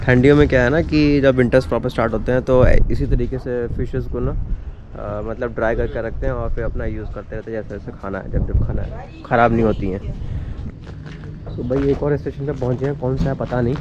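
Chatty Indian travel vlogger in his thirties, mid-pitched, talking to camera over heavy train noise. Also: fairly even intonation, fairly quick, highs cut off. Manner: chatty, addresses viewers as brother. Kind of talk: casual travel vlog narration. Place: train and outdoor station platform. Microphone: action camera held at arm's length. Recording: loud train and outdoor noise.

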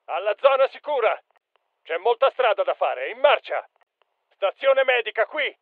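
An actor playing an urgent special forces soldier in a video game, giving clipped, emphatic callouts. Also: male voice, high-pitched, big pitch swings, a little slow, no room sound, phone-quality sound. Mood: firm, alert. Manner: clipped, emphatic military delivery. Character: urgent male special forces soldier calling out battlefield alerts.

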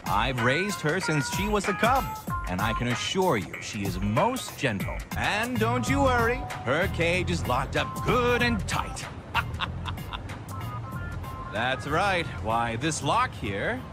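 A man's voice: fairly high pitch, very animated, takes it slowly, over music. A man voicing a showy, boastful circus ringmaster in a game, with flowery delivery. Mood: smooth assurance.

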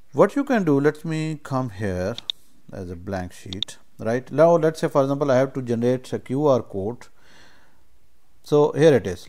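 A man giving an informal step-by-step software tutorial as instructor, speaking haltingly and often checking in with short tags. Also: mid-range voice, vocal fry, a little hiss or hum. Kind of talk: informal screen-share tutorial, explaining step by step.

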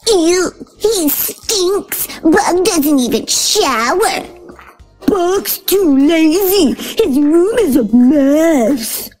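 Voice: extremely high pitch, lively intonation, extremely slow, darkish tone, faint music behind, pitched above the performer's own voice, full character voice, low-quality recording. Woman doing teasing little bugs. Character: teasing crowd of young bugs.

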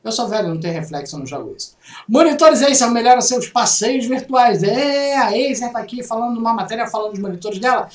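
A middle-aged Brazilian man with a Brazilian Portuguese accent, casually reading and joking through gaming news on a home webcam vlog. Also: fast. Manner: chatty, jokey asides, lively swings in pitch. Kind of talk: casual news commentary over screen share. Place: small room at home. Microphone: webcam in corner. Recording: roomy, distant from mic.

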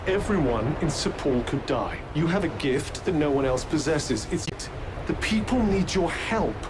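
An actor playing a haughty, articulate companion in a video game, speaking in a formal, sardonic and theatrical manner. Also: male voice, on the high side, lively intonation, normal speed, background noise, lightly acted. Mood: urging, accusing. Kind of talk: video game cutscene acting.

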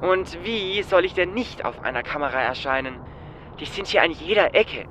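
A man's voice: fairly high pitch, lively intonation, normal speed, bright, forceful tone, slight background noise. A man playing a brash, daring infiltrator in a fan audio drama. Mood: puzzled. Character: brash, daring young male gang-style infiltrator.

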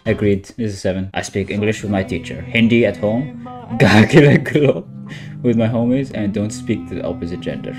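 A man's voice: on the low side, moderate pace. A young man chatting casually and giggling as he hosts a group reacting to pictures, with slangy, repetitive phrasing. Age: young adult. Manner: giggly, slangy, repeats himself. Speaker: host. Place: small room at home, indoors. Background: background music.

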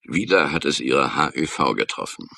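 Very deep, gravelly police detective. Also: male voice, sing-song, normal speed, bright, forceful tone, no room sound, exaggerated voice. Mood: grave, confiding. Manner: sardonic, curt. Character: gruff, cynical hardline male police detective. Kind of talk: radio crime drama acting.

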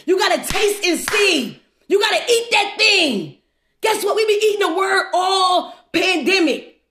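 Female preacher, extremely high voice, fervent exhorting delivery, echoey room. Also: expressive, a little slow, sharp tone. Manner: fervent, exhorting, repetitive rhetorical questions. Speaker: preacher leading a livestream devotional. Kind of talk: exuberant devotional sermon.

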